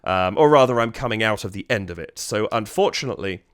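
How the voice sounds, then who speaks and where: A man with a low, smooth voice, slightly stuffy from a cold, talking through music he admires in a casual, thinking-aloud way. He is recorded very dry and close, with no room sound. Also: expressive, fast, no room sound. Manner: admiring, thinks aloud, hums melody lines. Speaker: reaction video host. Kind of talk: casual music analysis commentary.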